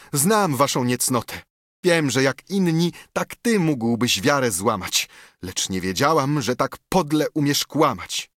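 A man voicing a passionate, theatrical noblewoman who has been jilted, speaking with melodramatic, shrill outbursts. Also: a bit bright, slightly rough, dry recording, studio quality, exaggerated voice.

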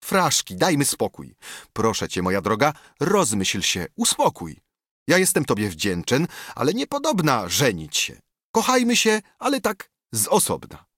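A man's voice: medium pitch, wildly swooping pitch, a little slow, a bit bright, no echo, studio quality, voice pushed up, full character voice. A man voicing an earnest young nobleman soldier who protests in a hasty, awkward way. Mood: flustered.

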